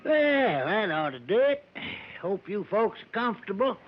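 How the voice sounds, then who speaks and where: An actor playing a folksy backwoods American driver who gossips grimly and deferentially, in a drawn-out vintage radio drama style. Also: male voice, high voice, big pitch swings. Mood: obliging. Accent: rural American. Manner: folksy, drawn-out storytelling with dialect grammar. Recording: muffled, noisy vintage radio broadcast.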